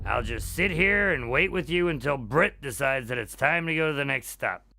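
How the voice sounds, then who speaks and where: A man's voice: mid-range voice, expressive, moderate pace, gravelly. A man playing a skeptical, sarcastic modern time traveler in an audio drama, talking in a dry way. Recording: loud dramatic music underneath.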